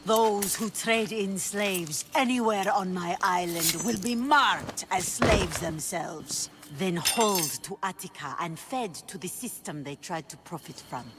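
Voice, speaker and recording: A woman's voice: lowish voice, a little slow, hardly any pauses, heavy background noise, lightly acted. A woman playing a commanding, shrewd pirate leader in a video game, dry and authoritative. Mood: harsh, commanding. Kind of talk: dramatic video game cutscene acting.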